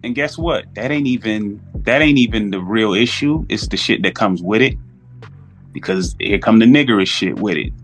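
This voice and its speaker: A man's voice: lowish voice, expressive, moderate pace, soft background music. A man chatting casually as a podcast guest, telling anecdotes in slangy speech and acting out both sides of exchanges.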